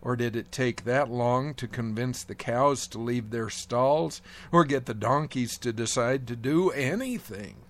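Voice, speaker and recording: Slow, measured man reading a devotional. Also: mid-range voice. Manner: measured, reflective, gently wry. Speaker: devotional speaker. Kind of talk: reading a prepared devotional essay.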